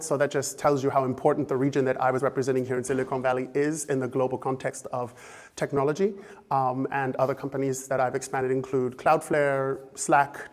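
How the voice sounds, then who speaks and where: An American man with a slight German-influenced accent, giving an impromptu talk to an audience, halting, with many fillers and restarts. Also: mid-range voice. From United States. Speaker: speaker at a fellowship event.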